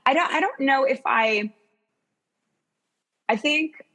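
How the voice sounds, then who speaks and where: A woman's voice: high-pitched, takes it slowly, a bit bright. A woman guest in a casual chat, hesitant and thinking aloud as she talks. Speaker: podcast guest.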